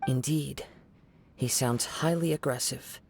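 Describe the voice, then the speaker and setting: A man playing a calm young wandering samurai in a video game, speaking in a formal, measured, polite way. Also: medium pitch, creaky. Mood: calm, thoughtful. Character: calm, courteous young wandering samurai, soft-spoken and poetic. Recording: game audio with music behind.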